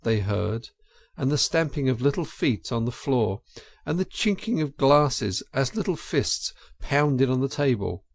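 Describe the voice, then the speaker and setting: A man narrating an audiobook story in a measured, expressive and dramatic storytelling style. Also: mid-range voice, gravelly, no room sound, clean studio sound.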